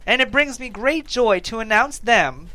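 An actor at a table read playing a genial priest addressing a wedding. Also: male voice, very high-pitched, lively intonation, a little slow, sharp tone, heavily acted. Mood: warmly ceremonial. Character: genial male priest giving a wedding homily. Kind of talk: scripted table read acting.

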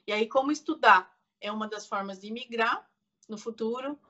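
A woman's voice: fairly high pitch, normal speed, pushed and bright, dull audio. A woman in her forties with a southern Brazilian Portuguese accent, chatting informally as an interview guest. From Curitiba, Brazil. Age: 40s. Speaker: interview guest, content creator. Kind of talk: casual chat.

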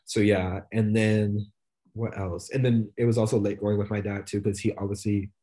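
A young man co-hosting a podcast in a loose, rambling chat, full of fillers and quick laughs. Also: fairly low pitch, flat delivery, warm tone. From United States. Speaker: podcast co-host. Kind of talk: casual chat.

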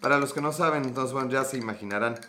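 A man's voice: medium pitch, rapid-fire, bright, forceful tone, a little hiss or hum. A young man with a Mexican accent, hosting a livestream, joking in crude slang and reading out viewer comments. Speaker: livestream host.

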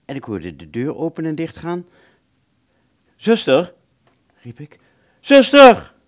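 An actor playing an anxious, confused wounded airman in a radio drama, speaking in an agitated, halting stammer. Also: a little high, wildly swooping pitch, no room sound, clean studio sound, full character voice. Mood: uneasy. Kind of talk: Dutch radio drama, first-person narration and dialogue.

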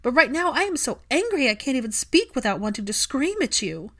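Woman acting out an impassioned, higher-pitched young heroine. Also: sing-song, a little fast, fairly bright tone, exaggerated voice. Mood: angry, resolute. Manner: emphatic, impassioned. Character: angry, hurt young woman, fiercely loving and defiant.

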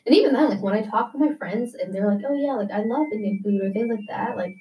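A woman's voice: a little high, quick pace, mellow, distant mic, a little hiss or hum, low-quality recording. Chatty young woman, a bit off-mic. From United States. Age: young adult. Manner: chatty, many "like" fillers. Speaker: interviewee. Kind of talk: casual interview.

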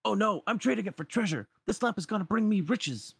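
A man's voice: high-pitched, animated, fairly quick, vocal fry, breathy edge, dry recording, voice pushed up, full character voice. A man voicing an irritable, greedy street merchant from a game, scolding and self-important. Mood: greedy defiance.

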